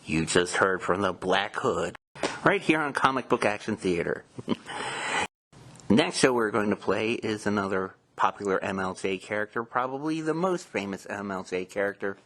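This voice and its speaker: Male podcast host, lowish voice, relaxed conversational presenting between segments. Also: normal speed, slight background noise. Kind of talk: casual show hosting.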